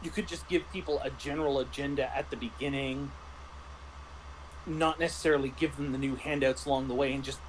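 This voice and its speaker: A man's voice: medium pitch, fairly even intonation, brisk, slight background noise, rough recording. A man in a casual discussion, explaining like a game master and often rephrasing himself mid-thought.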